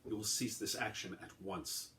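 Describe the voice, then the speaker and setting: A man voicing a cold, commanding alien hero who speaks low, quietly and formally. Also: steady tone, moderate pace.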